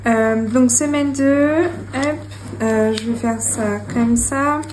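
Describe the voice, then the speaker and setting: Young woman vlogging about her budget, slow and chatty, lots of "euh", little giggles, faint background noise. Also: on the high side, darkish tone. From France. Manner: chatty, hesitant with many fillers, giggly. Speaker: budgeting channel host. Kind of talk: casual talk to camera while writing. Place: home room with her cat.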